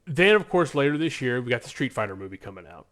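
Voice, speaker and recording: A man's voice: medium pitch, very animated, quick pace, fairly bright tone. An American man co-hosting a podcast in casual, enthusiastic pop-culture chat, speaking emphatically. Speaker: podcast co-host.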